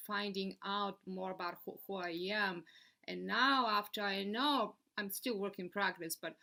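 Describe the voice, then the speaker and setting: A middle-aged woman from Bulgaria, an animated podcast guest who talks with her hands. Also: medium pitch. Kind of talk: casual interview chat.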